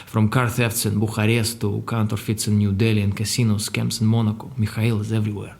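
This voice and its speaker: An actor playing a proud, solemn Russian secret service agent in an audio drama, speaking gravely and boastfully in deliberate, dramatic lists. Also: male voice, on the low side, completely flat delivery, darkish tone. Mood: grave, impressed.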